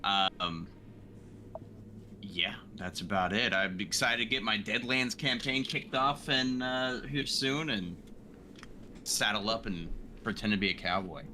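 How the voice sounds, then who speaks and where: Deep, very bright-voiced man chatting. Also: unhurried, slight background noise. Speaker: player and guest on a tabletop RPG show. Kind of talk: casual chat, introducing himself.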